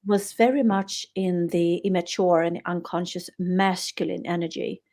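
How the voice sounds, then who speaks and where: A middle-aged Swedish woman whose first language is Swedish speaks in a lowish voice with a fairly flat melody. She talks earnestly and reflectively about her work, often restarting her sentences as she goes. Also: a little slow. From Sweden. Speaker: guest author and yoga therapist. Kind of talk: podcast interview conversation.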